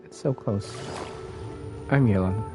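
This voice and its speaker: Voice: mid-range voice, takes it slowly. A game streamer giving casual live commentary, muttering to themselves while playing. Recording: loud game music behind the voice.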